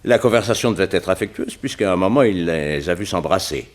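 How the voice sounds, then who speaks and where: Low-voiced, down-to-earth police inspector. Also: male voice, expressive, brisk. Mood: earnest. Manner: colloquial, interjecting, deferential to the detective. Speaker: actor in a radio crime drama. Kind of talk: 1960s French radio drama acting.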